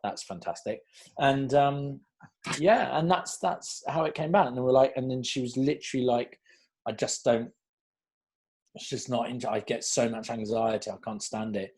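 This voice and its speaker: A man's voice: mid-range voice, normal speed, husky. A man, a casting director answering questions in a rambling, chatty way. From South Wales. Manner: chatty, rambling, animated storytelling. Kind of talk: online Q&A chat.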